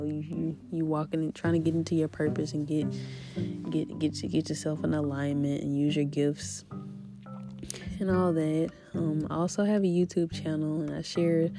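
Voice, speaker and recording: A woman hosting a spiritual podcast in a casual, rambling way with a deep voice that is still recovering from an illness. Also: vocal fry. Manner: rambling, faith-filled, soft laughs. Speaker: podcast host.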